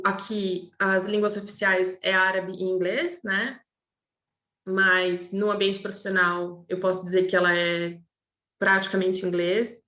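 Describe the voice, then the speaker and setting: A woman's voice: mid-range voice, fairly bright tone. Thoughtful Brazilian woman architect, echoey room. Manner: thoughtful, many fillers and restarts. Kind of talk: casual recorded talk to students.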